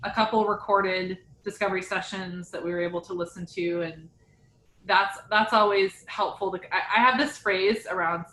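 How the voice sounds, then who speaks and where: A woman's voice: medium pitch, steady tone, normal speed, fairly bright tone, reverberant, a little hiss or hum, poor audio. A woman business coach hosting a casual interview, talking in an encouraging, conversational way.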